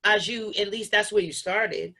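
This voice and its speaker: A woman's voice: mid-range voice, moderate pace, bright, forceful tone, low-quality recording. A woman hosting a casual live stream and putting questions to her guests. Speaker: host relaying chat questions.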